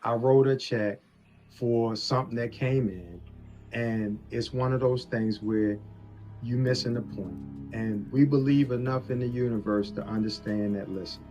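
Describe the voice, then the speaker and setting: A man's voice: mid-range voice, fairly even intonation, measured pace, mellow. A man arguing on a phone call, insistent and lecturing as he lays out his point. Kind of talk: argumentative phone conversation. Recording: leaked phone call with music underneath.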